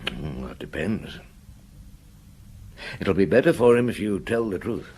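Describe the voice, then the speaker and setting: An actor playing a patient, shrewd police detective in a radio drama, coaxing answers in a husky voice. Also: male voice, low-pitched, sing-song, darkish tone, heavily acted. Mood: quietly pressing. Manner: probing, coaxing, unhurried questioning. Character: patient, shrewd male police detective gently questioning a boy.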